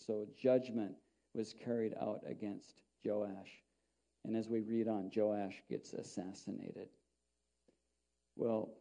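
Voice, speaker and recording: Man, middle-aged, pastor, sermon, very mellow dark tone, rambling conversational delivery, small church, podium mic. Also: medium pitch. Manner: conversational, rambling, with frequent restarts. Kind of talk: sermon with scripture reading. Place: small church sanctuary, indoors.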